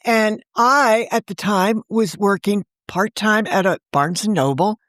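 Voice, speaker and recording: A woman's voice: mid-range voice, unhurried, fairly bright tone, no room sound. Woman, interview guest, chatty storyteller, dry sound. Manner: chatty storyteller, laughs often. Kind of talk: casual interview chat.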